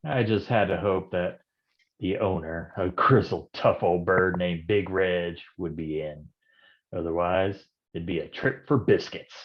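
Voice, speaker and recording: A man's voice: sits low, measured pace, dull audio. An actor playing a wisecracking, down-at-heel noir private detective in a stage reading, with slangy tough-guy talk. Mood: wry, brooding. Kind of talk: noir stage acting with narrated monologue.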